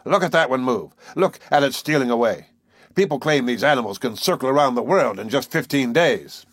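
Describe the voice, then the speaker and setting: A man voicing a blunt, boisterous male harpooner who talks loud and boastful. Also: mid-range voice, lively intonation, brisk, dry recording, studio quality, close to an everyday voice. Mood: storytelling.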